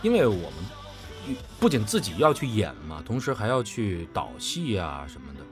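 Animated man in earnest discussion over music. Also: on the low side, moderate pace. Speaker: voice actor and drama director. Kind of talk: casual panel chat. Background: background music.

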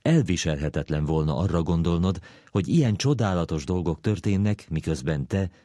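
A man reading a literary essay aloud in a deliberate, dryly ironic manner. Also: sits low, expressive, warm tone, no echo, studio quality.

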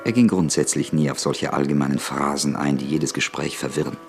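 A man narrating an old radio drama in a measured, sober tone. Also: extremely low pitch, vocal fry, soft background music.

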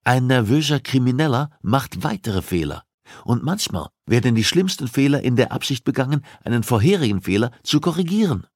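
Guy doing a cold bossy profiler for an audiobook, deliberate and insistent, raised pitch, close dry sound. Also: sing-song, dry recording. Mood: commanding, urgent. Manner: commanding, deliberate, argumentative. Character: cold, insistent male criminal profiler and police investigator. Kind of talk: audiobook dialogue acting.

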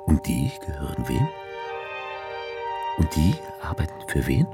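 An actor playing the author figure in a radio play, narrating wryly in the first person. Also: male voice, rumbling low, sing-song, measured pace, creaky, loud music behind the voice, full character voice.

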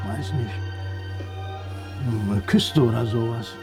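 A man's voice: medium pitch, takes it slowly, creaky. An actor playing an irritable, helpless middle-aged father who stammers when flustered, in a German science-fiction radio play. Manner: irritable, stammering, repeats words under strain. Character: grumpy, helpless, easily flustered father on holiday. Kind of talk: 1960s-90s German radio play acting. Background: music.

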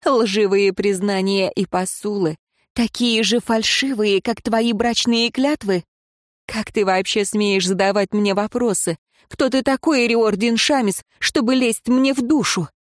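A woman voicing a defiant female prisoner who mocks and screams at her jailer in a high, sneering voice. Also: steady tone, unhurried, dry recording, studio quality, lightly acted. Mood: mocking.

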